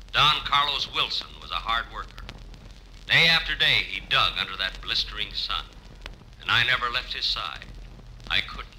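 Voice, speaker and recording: A man playing a tough, callous gold prospector in a radio comedy sketch, with a dry, deadpan delivery. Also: mid-range voice, voice pushed down. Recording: noisy old radio broadcast recording.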